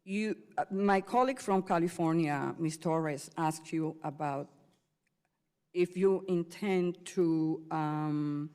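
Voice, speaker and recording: A woman from Puerto Rico, a member of Congress, questioning a governor at a hearing in a measured way with frequent fillers. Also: low-pitched, darkish tone, smooth. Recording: hall reverb.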